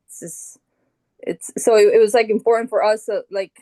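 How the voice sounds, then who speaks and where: A middle-aged woman from Colombia talks in a relaxed, casual interview voice. She thinks out loud, with plenty of "you know" and restarts. Her pitch sits in a mid range, and the sound is dry and close with no room echo. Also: normal speed. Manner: relaxed, thinks aloud with many fillers and restarts. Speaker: guitarist and founding band member, guest.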